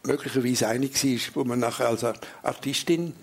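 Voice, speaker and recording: A man's voice: mid-range voice, moderate pace, gravelly, slightly rough. An older man with a Swiss German accent, a writer on a live stage talk show, reminiscing in a reflective way and searching for words. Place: theatre stage before an audience.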